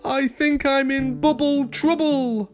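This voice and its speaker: A man voicing a young, eager, excitable male cartoon puppy in a children's story, with drawn-out hesitations and exclamations. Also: a little high, soft background music, full character voice. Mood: worried.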